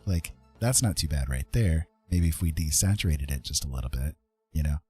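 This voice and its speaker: A man's voice: very deep voice, expressive, fairly quick, mellow, breathy edge, no room sound, faint music behind. A man talking casually and informally through a live digital art tutorial, often checking in with small questions as he goes. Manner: easygoing, informal, tags points with check-in questions. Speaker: digital art streamer and instructor.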